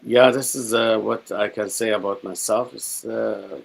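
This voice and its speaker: A middle-aged man from Syria with a Syrian accent, a native Arabic speaker, telling his own story reflectively as a guest, halting and repeating himself as he searches for words. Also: lowish voice, fairly even intonation, vocal fry, husky, rough recording. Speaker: guest, spiritual healer.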